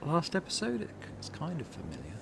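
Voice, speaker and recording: A man's voice: a little high, wildly swooping pitch, brisk, vocal fry, a little hiss or hum. A man giving casual let's-play commentary as a gaming host, thinking aloud and reading on-screen text out.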